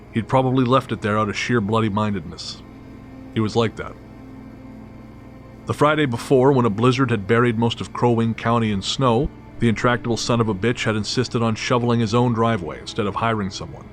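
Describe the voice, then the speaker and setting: Man, low-pitched, wry, matter-of-fact, scripted narration, background music. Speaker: storyteller narrator. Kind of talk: scripted first-person story narration. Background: clearly audible music.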